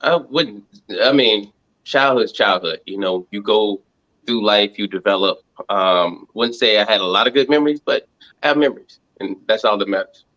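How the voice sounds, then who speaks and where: A man, answering casual interview questions in a halting, reflective way, thinking aloud. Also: lowish voice, pushed and bright, muffled recording. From Montgomery, Alabama, United States. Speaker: reality show contestant interviewed as guest.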